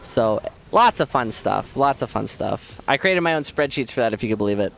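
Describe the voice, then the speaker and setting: A man streaming a game who chats casually and jokes at his own expense with viewers, hoarse from losing his voice. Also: medium pitch, lively intonation, normal speed, a bit bright, a little hiss or hum. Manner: rambling, self-mocking, joking with viewers. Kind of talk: casual chat while playing.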